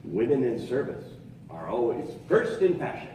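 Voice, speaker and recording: A man playing a showy, stately wartime radio host, proclaiming in a grand, measured 1940s style in a very echoey hall with music and an audience. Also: medium pitch, sing-song, warm tone, distant mic, a little hiss or hum, natural voice. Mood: smooth sales pitch. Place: on stage in an opera house, live.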